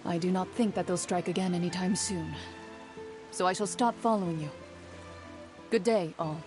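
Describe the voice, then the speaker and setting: Woman as a low, composed duelist. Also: steady tone, close to an everyday voice. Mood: cool, serious. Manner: measured, formal. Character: composed, formal, guarded female champion duelist. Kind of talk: video game voice acting. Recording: game music behind.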